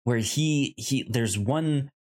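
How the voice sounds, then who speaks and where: A man co-hosting a casual discussion, speaking haltingly and stuttering on repeated sounds and words. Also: mid-range voice. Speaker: podcast co-host. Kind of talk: casual chat, film discussion.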